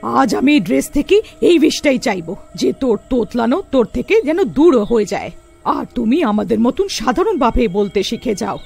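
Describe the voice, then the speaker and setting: A woman as a high-voiced, caring witch mother. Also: sing-song, moderate pace, vocal fry, a little mellow, husky, faint music behind, exaggerated voice. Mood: concerned.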